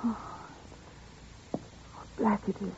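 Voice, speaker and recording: An actress playing a frightened, suspicious, high-strung fiancée in 1940s radio suspense, melodramatic and pleading. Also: female voice, very slow. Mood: hushed, uneasy. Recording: tinny, noisy 1940s radio recording.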